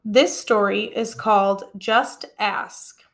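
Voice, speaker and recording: A woman reading a children's storybook aloud in a deliberate, expressive way for young listeners. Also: mid-range voice. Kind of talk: read-aloud storybook narration.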